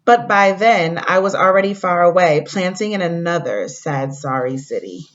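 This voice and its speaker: A woman teacher giving a read-aloud lesson to young pupils, speaking in a deliberate way and addressing the children directly. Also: fairly low pitch, lively intonation. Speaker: elementary school teacher. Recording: dull lo-fi recording.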